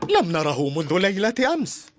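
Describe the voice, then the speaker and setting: A woman's voice: fairly low pitch, sing-song, a little fast, soft background music. A woman voicing a worried, protective female farm bird in a cartoon dub. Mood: anxious.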